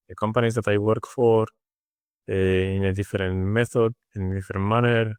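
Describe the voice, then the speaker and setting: A man from Spain with a Spanish accent, answering interview questions in a halting way with many fillers and restarts. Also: on the low side, a little mellow, clean tone, no room sound. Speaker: interview guest. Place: studio. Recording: dry studio sound.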